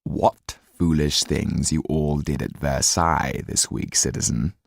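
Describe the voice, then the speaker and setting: A man voicing a sinister, coldly composed count with a smooth, deliberate, menacing manner. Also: rumbling low, steady tone, dry recording, studio quality, voice pushed down, full character voice. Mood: cold reproach.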